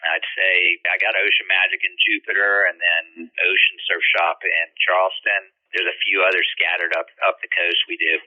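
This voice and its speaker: A man's voice: sits low, moderate pace, few pauses. An older man from the Bahamas who shapes surfboards, answering interview questions casually and loosely, with plenty of fillers and restarts. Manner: relaxed, rambling with fillers and restarts. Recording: tinny phone-like line.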